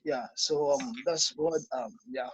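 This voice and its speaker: A man with a Ghanaian accent, speaking thoughtfully in a halting way with many pauses and ums. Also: medium pitch, steady tone, breathy edge, lo-fi sound. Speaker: interviewee, church member. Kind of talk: casual spoken reflection.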